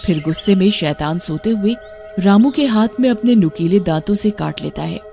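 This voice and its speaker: Mellow woman narrating a cartoon story. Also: mid-range voice, expressive, moderate pace, vocal fry, faint music behind.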